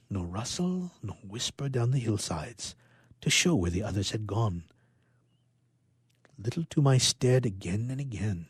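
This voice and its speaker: A man narrating a story dramatically, with expressive, suspenseful delivery and phrases that drop to a hush. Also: medium pitch, husky, dry recording, clean studio sound. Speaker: storyteller, reader.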